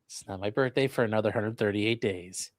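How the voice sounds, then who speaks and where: A man's voice: on the low side, a little fast, dry recording. A man hosting a live stream, reading out viewer questions and giving casual legal commentary with wry, joking asides.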